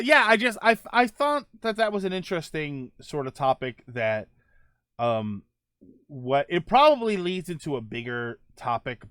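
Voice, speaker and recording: A man's voice: mid-range voice, fairly bright tone, dry recording, studio quality. A middle-aged man hosting a casual podcast, rambling through viewer comments with many fillers and self-corrections. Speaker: podcast host.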